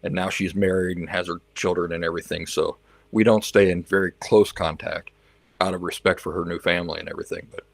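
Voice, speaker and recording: A man's voice: deep voice, fairly even intonation, quick pace, creaky, no echo. A man hosting a livestream, chatting casually with viewers and reacting to their comments, sometimes switching into learner's Spanish. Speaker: livestream host.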